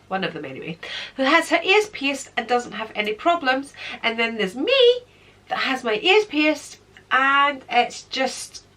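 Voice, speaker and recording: A woman's voice: fairly high pitch, a bit bright. Chatty middle-aged woman with a cold. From Britain. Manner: chatty, counting and pointing things out. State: congested from a cold. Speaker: beauty vlogger. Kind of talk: casual chat to camera.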